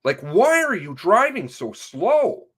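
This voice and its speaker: Man, read-aloud interview transcript, slow pace, animated intonation, halting delivery, spoken asides. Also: high voice, slightly rough. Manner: halting, often breaking off to comment on the text.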